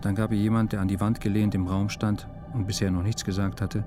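Male narrator for literary audio drama: lower register, matter-of-fact scripted read, music underneath. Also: little pitch movement, brisk.

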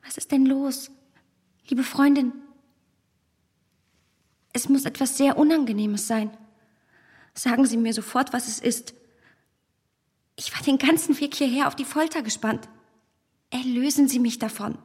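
A high, slightly husky voice in a long reverb, a self-possessed young gentlewoman of a period radio play. She speaks politely and articulately, with an impatient, insistent edge. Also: measured pace, pauses often. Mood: anxious, insistent. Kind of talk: period radio drama acting.

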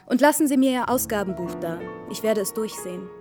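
A woman playing a brisk, practical young woman who runs her family's household in a radio play, bossy and matter-of-fact. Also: mid-range voice, expressive, over music, lightly acted.

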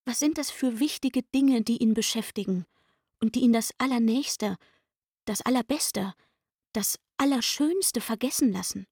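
A woman's voice: a little high, unhurried, darkish tone, husky, no echo, studio quality. An actress playing an aggrieved young chambermaid in a literary radio play, insistent and indignant as she pours out her complaints.

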